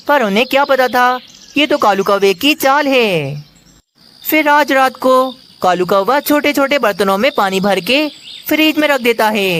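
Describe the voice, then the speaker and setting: A woman's voice: fairly high pitch, fairly bright tone. A woman reading scripted narration for a children's cartoon story.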